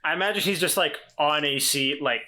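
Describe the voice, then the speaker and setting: A man's voice: a little high, a little fast, over a noisy crowd. A man chatting casually as a player in a tabletop game, describing what his character does.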